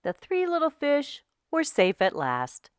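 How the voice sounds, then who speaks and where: A woman storyteller reads to young children at an unhurried pace, with a very lively, swinging pitch. The delivery is scripted and expressive, and the sound is close and dry. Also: no room sound. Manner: slow, animated, deliberate storytelling delivery.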